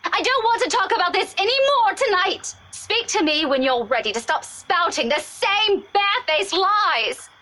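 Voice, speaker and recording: A woman's voice: extremely high pitch, animated, normal speed, sharp tone, full character voice. A woman voicing a sarcastic, exasperated young woman in a visual novel. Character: young woman, sharp-tongued, fed up. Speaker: game narrator and player.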